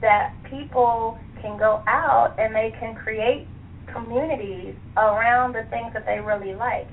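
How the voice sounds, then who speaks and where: Woman, higher-pitched voice, chatty explanatory interview guest, thin telephone sound with faint noise. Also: steady tone, measured pace. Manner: chatty, explanatory, thinking aloud. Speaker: interview guest, author and librarian. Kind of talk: conversational radio interview, storytelling examples. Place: remote call to internet radio show. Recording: muffled phone-like line, faint noise.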